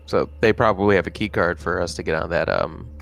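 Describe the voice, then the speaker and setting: Creaky low-voiced man, casual gamer. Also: a little fast, fairly bright tone. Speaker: player in a tabletop game. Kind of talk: casual game chat.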